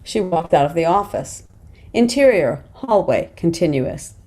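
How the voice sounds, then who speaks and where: A woman's voice: on the low side, sing-song, a little slow, darkish tone. A woman reading stage directions matter-of-factly at a table read.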